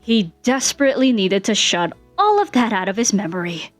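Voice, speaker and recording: A woman's voice: mid-range voice, sing-song, brisk. Woman narrating a story over music. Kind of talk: scripted fan fiction narration.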